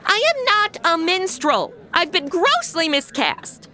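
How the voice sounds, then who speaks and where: A sassy modern young woman with a high, bright, very animated voice. She is sarcastic and slangy in a comic radio play. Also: unhurried, exaggerated voice. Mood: self-mocking. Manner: sarcastic, self-dramatizing, slangy. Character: sassy, brash modern young woman thrown into medieval adventure. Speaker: lead of the comedy podcast. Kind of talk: comedic audio drama acting.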